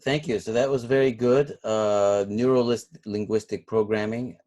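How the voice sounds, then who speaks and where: Male instructor, first language Turkish, lowish voice, rambles and thinks aloud in class discussion. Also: steady tone, moderate pace, a little mellow. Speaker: course instructor.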